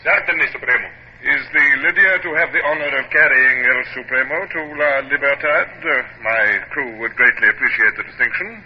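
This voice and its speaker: An actor playing a formal, diplomatic English naval captain with a British accent in a 1950s radio drama. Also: male voice, mid-range voice, lightly acted. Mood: polite, guarded. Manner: formal, measured, hesitant deference. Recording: muffled, noisy 1950s radio recording.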